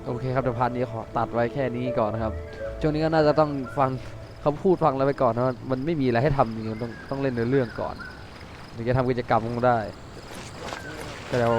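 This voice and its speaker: A man's voice: medium pitch, steady tone, racing. A Thai man giving casual reaction commentary over gameplay as a game streamer. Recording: game music behind.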